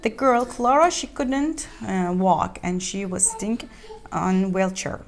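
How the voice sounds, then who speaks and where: A woman reads a children's story aloud, haltingly, repeating and explaining words as she goes. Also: medium pitch, animated. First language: not English.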